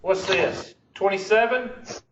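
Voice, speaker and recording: Slow high-voiced man teaching math. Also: fairly bright tone, a little hiss or hum. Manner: questioning, working problems aloud. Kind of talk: classroom lesson. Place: classroom. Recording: echoey classroom, dull far-off sound.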